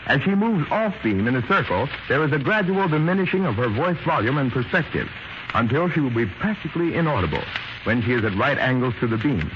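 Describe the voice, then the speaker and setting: Formal, animated 1930s radio announcer, male. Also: mid-range voice, brisk. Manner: formal, precise, didactic. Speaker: announcer, presenter. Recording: noisy muffled 1930s radio recording.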